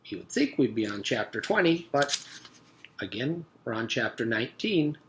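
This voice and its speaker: A man's voice: mid-range voice, animated, moderate pace, background noise. Man reading a children's story aloud. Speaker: teacher reading aloud to pupils.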